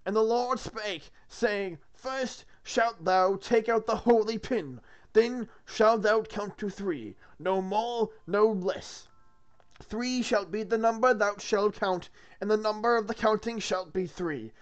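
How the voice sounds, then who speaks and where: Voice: medium pitch, dull audio, voice pushed up, natural voice. A reader intoning as a pompous monk. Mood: solemn. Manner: solemn, sing-song, slow and intoning. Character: pompous male monk reading scripture.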